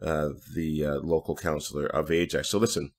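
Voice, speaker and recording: A man hosting a casual podcast interview, speaking in a halting way and often repeating his words. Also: rumbling low, little pitch movement, a little mellow. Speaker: podcast host.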